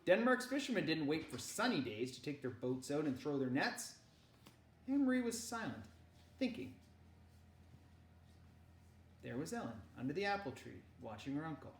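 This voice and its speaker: A woman reading a children's novel aloud in an animated storyteller's way, dramatizing the dialogue. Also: deep voice, moderate pace, pauses often, slight background noise. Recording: roomy echo.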